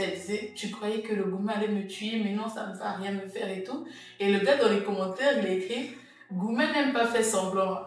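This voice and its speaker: A woman co-hosting a casual chat podcast, playful and chatty. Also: mid-range voice. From Congolese heritage. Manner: playful, chatty, reacts loudly. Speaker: podcast co-host. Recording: echoey room from far off, soft music.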